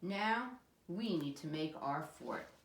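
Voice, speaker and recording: An eager little storybook boy, acted out by a woman, full of bossy make-believe. The delivery swings up and down with dramatic excitement, in an echoey room. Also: low-pitched, slow pace, exaggerated voice. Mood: confident. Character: eager, imaginative little boy playing pretend.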